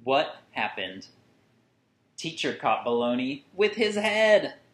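A man in his thirties reading a picture book aloud to children with deliberate, singsong phrasing and dramatic emphasis. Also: mid-range voice, sing-song, fairly bright tone. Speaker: children's story reader. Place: small furnished room at home, indoors. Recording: roomy indoor echo.

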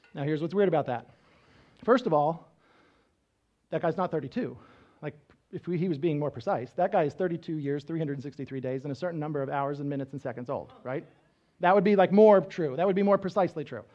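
A man in his thirties preaches from a church stage, pacing and gesturing as he teaches in a relaxed, conversational way. His voice sits fairly high for a man. The sound is a bit dull and muffled. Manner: conversational teaching, frequent "right?" check-ins; walks around the stage gesturing. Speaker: pastor. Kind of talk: sermon. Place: church stage with band instruments.

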